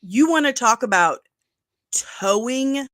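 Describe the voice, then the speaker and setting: A woman's voice: a little high, expressive, takes it slowly, fairly bright tone, no room sound. A middle-aged woman with a nasal voice, hosting a casual pop culture news livestream, chatty and emphatic. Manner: chatty, emphatic, with asides and laughs.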